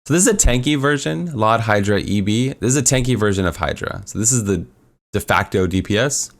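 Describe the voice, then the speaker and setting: A man's voice: on the low side, animated, brisk. A man walking casually through a game build tier list, upbeat and rambling with lots of fillers, his voice a little worn. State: losing his voice. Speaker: gaming content creator.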